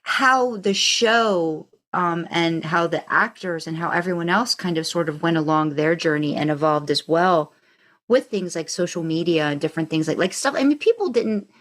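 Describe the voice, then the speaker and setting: A woman's voice: lowish voice, normal speed, fairly bright tone. A woman co-hosting a podcast in casual fan chat, talking in a reflective, rambling way that circles back mid-thought. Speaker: podcast co-host.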